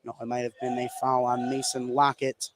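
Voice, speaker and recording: A man doing live radio play-by-play commentary of a basketball game, excitable on big plays. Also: medium pitch, moderate pace. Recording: clearly noisy live sports broadcast.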